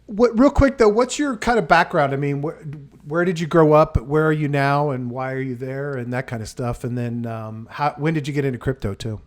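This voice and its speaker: A man hosting a podcast, asking quick questions in a casual, encouraging way. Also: fairly high pitch. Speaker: podcast host. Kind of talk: casual interview chat.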